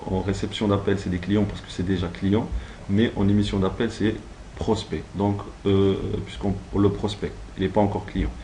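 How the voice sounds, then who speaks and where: A man's voice: low voice, flat delivery, normal speed, mellow, slight background noise. A man giving an instructional training talk, explaining step by step in a didactic, semi-improvised way. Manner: didactic, step-by-step, checks understanding. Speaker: trainer teaching call-centre phone technique.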